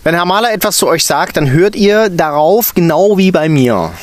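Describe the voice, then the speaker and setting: Stern, shrill kindergarten teacher, bossy and cold, played by a man, in a high, lively voice with some grit. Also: normal speed, dry recording. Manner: commanding, scolding.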